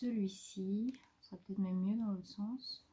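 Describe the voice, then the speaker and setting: A woman's voice: mid-range voice, fairly even intonation, normal speed. A woman vlogger chatting casually as she shows off her new craft supplies at home, rambling a little and breaking off to talk to her pet. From France. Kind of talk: casual show-and-tell haul. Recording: faint background noise.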